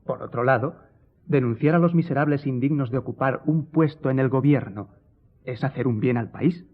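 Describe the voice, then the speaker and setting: A man's voice: medium pitch, moderate pace, close to an everyday voice. A man playing a complacent, self-assured newspaper editor in a radio drama. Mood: smug, unbothered. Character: smug, unbothered newspaper editor of a government paper. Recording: thin phone-like audio with music.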